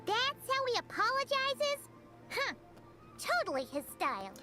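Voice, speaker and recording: A woman voicing a small, childlike fairy companion in a video game, squeaky, cheeky and exclamatory. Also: big pitch swings, unhurried, pushed and bright, faint music behind, exaggerated voice. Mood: perky, curious. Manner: exclamatory, refers to herself in third person.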